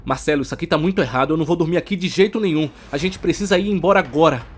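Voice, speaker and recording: A man's voice: on the high side, racing, pitched above the performer's own voice, close to an everyday voice. Man voicing an urgent, suspicious young woman. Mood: frightened. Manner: urgent, insistent. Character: anxious, suspicious young woman, wary of danger. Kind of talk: audiobook character acting.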